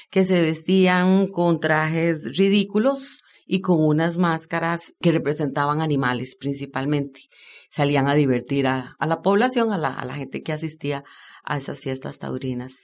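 A woman's voice: sits low, darkish tone. A woman with a Costa Rican accent, a historian being interviewed, explaining informally and didactically with frequent tag questions. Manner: didactic, often checks understanding with tag questions.

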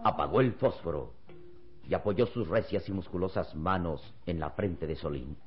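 A man's voice: deep voice, moderate pace. A man narrating a radio serial in an emphatic, theatrical style full of suspense. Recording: dull old radio recording with music.

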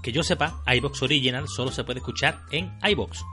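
A man's voice: medium pitch, a little fast, sharp tone, faint music behind. A man with an accent from Spain, co-hosting a podcast in colloquial, playful scripted dialogue. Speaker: podcast co-host.

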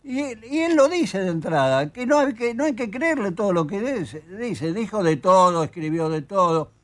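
A man's voice: sits high, lively intonation, normal speed. An older man with an Argentine accent, an author being interviewed in a studio, talking emphatically and with indignation. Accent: Argentine Spanish. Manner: emphatic, indignant, reads quotes aloud. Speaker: author guest.

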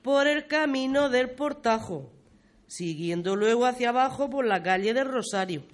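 A performer playing a talkative, fond old grandmother, reminiscing in folksy, expressive style in a community radio play. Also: medium pitch, moderate pace, lightly acted. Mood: chatty, storytelling. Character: talkative, fond old village grandmother telling local history.

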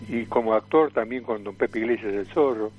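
An elderly man with an Argentine accent reminiscing as a radio guest over the phone, in a halting way that repeats phrases. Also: fairly low pitch. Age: 70s or older. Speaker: interview guest, veteran caricaturist and actor. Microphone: telephone. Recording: tinny, noisy phone line.

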